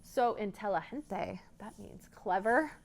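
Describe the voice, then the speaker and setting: A woman reading a children's story aloud as a library storyteller, expressive, pausing to ask and explain. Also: mid-range voice, gravelly.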